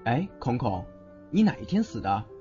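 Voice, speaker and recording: A man playing a lively, tender young tease. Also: mid-range voice, normal speed, lightly acted. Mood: teasing. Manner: playful, teasing. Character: teasing, protective young man with secret tenderness. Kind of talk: Chinese audio drama acting. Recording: dull lo-fi audio with music.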